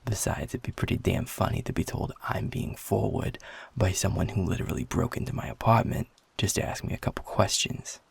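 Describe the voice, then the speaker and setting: A man voicing a feisty, flirtatious werewolf in an intimate audio roleplay, gruff, cocky and blunt, with a gravelly, husky voice. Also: sits low, a little fast, dry recording, exaggerated voice. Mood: flirty, amused. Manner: swearing, teasing, informal and blunt.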